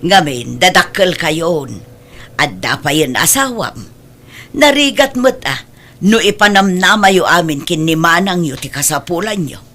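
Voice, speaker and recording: A woman acting a self-sacrificing, middle-aged mother in a radio drama. She has a lowish voice and speaks earnestly to her grown children, firm yet caring as she admonishes them. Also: lively intonation, measured pace, a bit bright. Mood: earnest admonishing.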